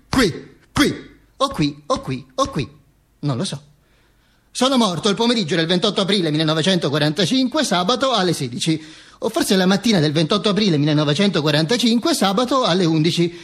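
An actor playing a dead, vain fascist dictator who narrates his own afterlife in a halting, mock-solemn stage monologue. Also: high-pitched, slightly rough, heavily acted. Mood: halting, rambling. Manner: halting, stammering repetitions, mock-solemn declaiming. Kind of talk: theatrical monologue, narrating to an audience.

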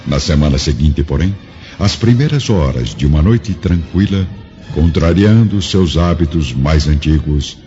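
A man narrates a radio drama in a deep, mellow voice. His delivery is solemn and theatrical, weighty with gravity. Faint music plays under a slightly dull recording. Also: lively intonation, unhurried.

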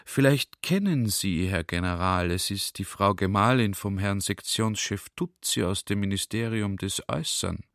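A man puts on an elderly library attendant for a radio drama. The voice is mild and rather low, with humble, formal, servile phrasing. The recording is close and dry. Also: moderate pace, gravelly, hardly any pauses, no room sound. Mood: humble, deferential. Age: older. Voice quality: mild, soft. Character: humble, obsequious old male library attendant. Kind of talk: radio play, quoted dialogue.

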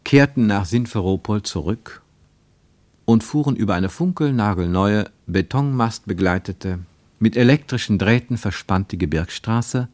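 Male narrator for a radio travelogue play. Fairly low voice, dry close studio sound, measured and expressive. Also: dry recording. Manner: expressive, measured storytelling delivery.

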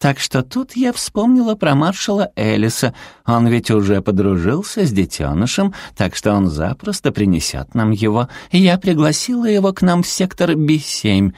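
Guy doing a cutesy little animal villain lady, squeaky high, super polite and gushy, storybook style. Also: sing-song, moderate pace, few pauses, a little mellow, no echo, studio quality. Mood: chirpy, polite. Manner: gushing, sugary politeness. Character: cute small female furry villain, sweetly polite and pouty.

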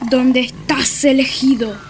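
A woman voicing a menacing hunting beast in a radio drama, with a drawn-out, searching delivery. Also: high voice, fairly even intonation. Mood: predatory searching. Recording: noisy ambience behind radio voice.

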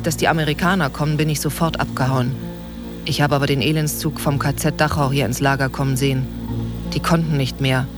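A man reading a first-person memory aloud in a plain, calm way for a radio piece. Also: on the high side. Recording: voice under clear music.

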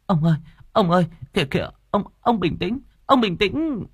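Guy doing middle-aged mom in audio drama, way high husky voice, wailing and begging over and over. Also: lively intonation, moderate pace, dry recording. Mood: pleading. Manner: wailing, repetitive pleading. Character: tearful pleading middle-aged wife and mother. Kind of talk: acted dialogue in audio storytelling.

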